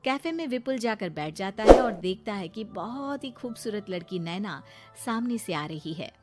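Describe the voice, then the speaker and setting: A woman delivering scripted narration as the storyteller of an animated tale. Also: mid-range voice, normal speed, soft background music.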